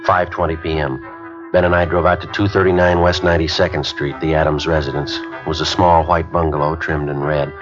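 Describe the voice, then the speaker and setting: An actor playing a terse, matter-of-fact police detective who also narrates, in a 1950s radio drama, with a clipped, deadpan delivery. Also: male voice, extremely low pitch, fairly quick. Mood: flat narration. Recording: dull, lo-fi 1950s radio broadcast with music behind.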